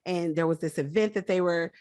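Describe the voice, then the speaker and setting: A woman's voice: on the low side, fairly quick, darkish tone, no echo, studio quality. A woman guest on a faith-based interview, speaking about personal branding in an earnest, encouraging way.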